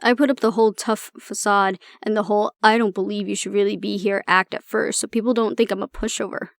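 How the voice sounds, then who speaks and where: A woman playing an anxious young woman with a tough front and a soft core, confiding casually and with swearing in an intimate audio roleplay. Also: medium pitch, fairly even intonation, brisk, creaky, no echo, studio quality, close to an everyday voice. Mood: wry, guarded.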